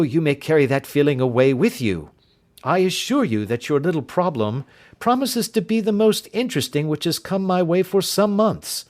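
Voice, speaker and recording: A man voicing a sharp, confident detective in an audiobook reading, speaking emphatically in a raised voice. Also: fairly high pitch, voice pushed up. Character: sharp, confident male detective, keen and assured.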